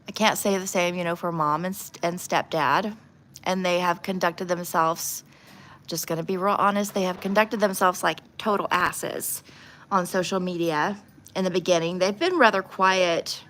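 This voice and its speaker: A woman's voice: fairly low pitch, a bit bright, slight background noise. A woman hosting a casual livestream, talking informally and bluntly as she gives her opinions. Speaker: livestream host doing nail art. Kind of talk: casual livestream chat with true crime commentary.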